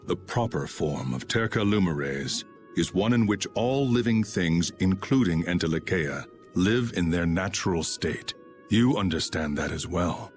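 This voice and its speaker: Game role: stern, aloof guardian swordsman, very low, slow, formal delivery over faint music. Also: animated. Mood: cold, solemn. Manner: formal, solemn, measured. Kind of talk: video game cutscene acting.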